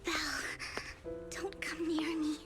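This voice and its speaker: A woman voicing a devoted, melancholy young woman in a fantasy game, speaking in a soft, formal, earnest way. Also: very high-pitched, monotone, a little slow, over music. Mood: solemn, tender. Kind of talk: dramatic game voice acting.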